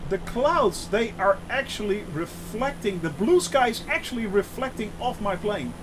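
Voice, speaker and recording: A Dutch-accented man with a fairly high voice talks casually through a flight-sim live stream. He rambles and fills pauses with "uh" and "um" while answering his chat. A faint engine drone sits under his voice. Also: big pitch swings, background noise. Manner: chatty, many filler "uh"s, reads and answers chat.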